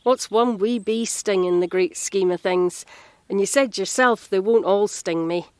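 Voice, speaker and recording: A woman playing a practical, kindly council carer in an audio drama, speaking in a gentle, coaxing, matter-of-fact way. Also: medium pitch, measured pace, no room sound, lightly acted. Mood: kindly, brisk.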